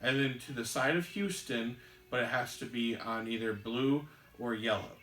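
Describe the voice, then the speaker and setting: A man's voice: mid-range voice, moderate pace, fairly bright tone. A man hosting a board game show, patiently explaining the rules step by step as he plays, with frequent ums. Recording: roomy room, mic at a distance, faint hiss.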